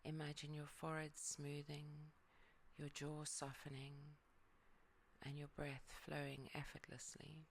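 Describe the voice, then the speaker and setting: A yoga nidra guide leading a calm relaxation meditation, speaking softly and often sinking into a whisper. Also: medium pitch, a little slow, creaky, slight background noise.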